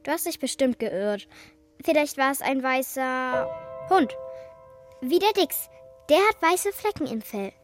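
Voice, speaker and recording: A woman's voice: high-pitched, lively intonation, a little slow, long pauses, music in the background. A woman playing an imaginative, doubting young girl in a children's radio play, with a childlike, matter-of-fact manner.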